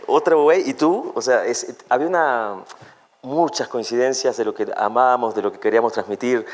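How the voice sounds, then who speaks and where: A playful Argentine man in his forties, guesting on a talk show at a desk mic. His voice sits at a middle pitch, and he talks with animated, joking warmth. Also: a little fast. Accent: Argentine Spanish. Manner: playful, animated, jokes and sings snippets. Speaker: guest singer. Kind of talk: casual interview chat. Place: talk show studio set. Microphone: desk microphone.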